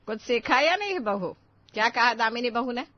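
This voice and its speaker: A woman's voice: fairly high pitch, lively intonation, moderate pace, no echo, muffled recording, lightly acted. A woman voicing a demanding older mother-in-law in a family story, with a commanding, emphatic, nagging manner.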